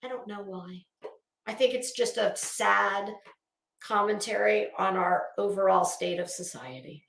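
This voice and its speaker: A woman's voice: mid-range voice, unhurried, gravelly, a bit bright. A woman giving an unscripted opinion rant as a channel host, in a blunt, scornful and emphatic manner. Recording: roomy, echoing sound.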